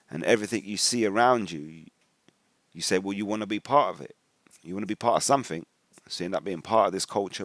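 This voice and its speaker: A man's voice: on the low side, no room sound. A man from England with an English accent gives informal religious commentary in colloquial, slangy speech, often acting out what other people say. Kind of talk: informal spoken commentary with personal anecdotes.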